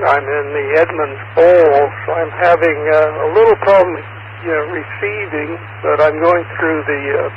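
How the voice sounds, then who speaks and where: A man's voice: a little high, gravelly. A man checking in on amateur radio, speaking casually and tentatively through a two-way radio. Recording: dull, faintly noisy radio transmission.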